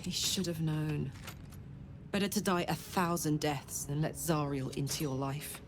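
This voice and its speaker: A tough female game fighter, with a fairly low voice and a wry, blunt way of talking. She sounds sardonic and world-weary, with clear background noise around her. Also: fairly even intonation, measured pace. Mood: bitter sarcasm. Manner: wry, blunt, casual swearing. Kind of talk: video game character dialogue. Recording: constant noisy ambience behind voice.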